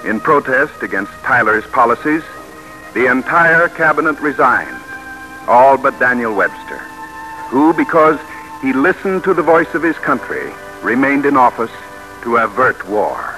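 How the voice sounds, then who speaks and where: An actor as the solemn narrator of a historical radio drama, formal and dramatic in an old-time style. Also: male voice, medium pitch, very animated, measured pace, pushed and bright, rough recording. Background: music.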